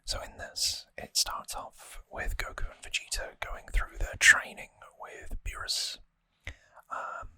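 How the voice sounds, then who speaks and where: An ASMR host whispering breathily and close to the microphone, explaining things softly and informally with some hesitation. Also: slow. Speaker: host of a trivia ASMR show. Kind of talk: ASMR whispered episode recap.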